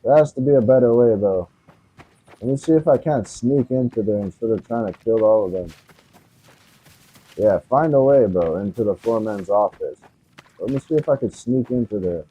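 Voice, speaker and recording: A man's voice: lowish voice, moderate pace, breathy edge, dull audio. A man giving casual, slangy, profane commentary as he streams a video game, with game sound behind him. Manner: slangy, profane, says "bro" often.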